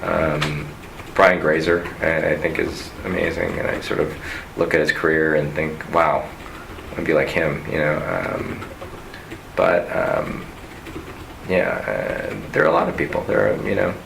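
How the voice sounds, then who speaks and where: A man's voice: a bass voice, a little slow, gravelly. A man who grew up speaking Cantonese, chatting casually in an interview, rambling with many fillers and restarts. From United States. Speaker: interviewee, film industry worker. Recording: noisy background.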